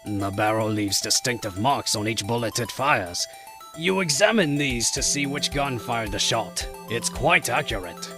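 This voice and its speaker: A man's voice: mid-range voice, expressive, a little slow, close to an everyday voice. An actor playing a timid, easily flustered older male judge who stammers in a fan audio drama. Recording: voice over background music.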